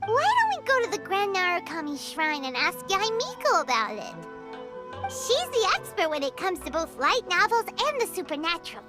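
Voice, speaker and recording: A woman voicing a tiny, excitable fairy girl companion in a video game, bubbly and squeaky with drawn-out exclamations. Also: over loud music. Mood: curious.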